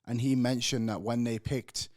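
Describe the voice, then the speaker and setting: A man's voice: medium pitch, fairly even intonation, normal speed, a little mellow, no room sound, clean studio sound. A man co-hosting a casual sports podcast, talking in an easygoing, rambling way. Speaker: podcast co-host.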